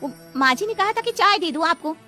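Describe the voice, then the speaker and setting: A woman as a coy, high, bright village maid. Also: lively intonation, fairly quick, soft background music, full character voice. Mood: coy, innocent. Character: coy, naive young village housemaid. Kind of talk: comic Hindi moral-story cartoon acting.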